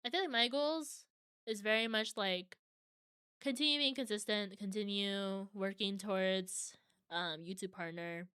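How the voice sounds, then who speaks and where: A woman's voice: mid-range voice, fairly even intonation, unhurried, fairly bright tone, smooth, no echo, clean studio sound. A young adult woman streaming from home, chatting casually with viewers and answering their comments.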